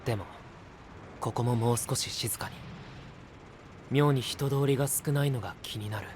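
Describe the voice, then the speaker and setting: A man voicing a calm, level-headed young male knight in an anime voice drama, speaking politely and leading the group. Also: medium pitch, normal speed, background noise, natural voice. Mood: steady.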